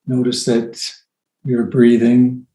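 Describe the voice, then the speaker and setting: A man leading a guided meditation as a teacher, with gentle, unhurried phrasing and long pauses. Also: medium pitch, monotone. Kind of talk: guided meditation, softly spoken instruction. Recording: roomy, echoey sound.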